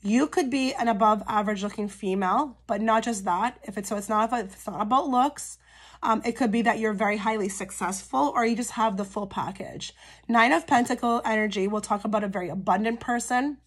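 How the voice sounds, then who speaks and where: A woman giving a casual pick-a-card tarot reading straight to her viewers, her voice a little hoarse and cracky from a cold, often checking in with "okay?". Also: a little high, fairly quick. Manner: chatty, frequent "okay?" check-ins with viewers. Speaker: tarot reader.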